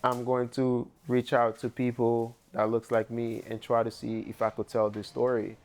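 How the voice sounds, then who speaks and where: A man's voice: medium pitch, completely flat delivery, moderate pace, a little mellow. A young man from Haiti with a Haitian accent, a painter in a documentary interview, speaking reflectively with loose phrasing and many fillers. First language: Haitian Creole.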